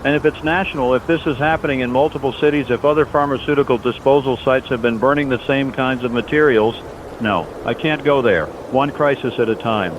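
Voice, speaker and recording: A man playing an earnest, steadfast overnight radio host in a scripted disaster drama, speaking in solemn, reassuring, deliberate phrases. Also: natural voice. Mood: worried, uncertain. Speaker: late-night radio host in a fictional broadcast. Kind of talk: scripted radio drama monologue, emergency broadcast. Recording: dull lo-fi audio, loud outdoor rumble.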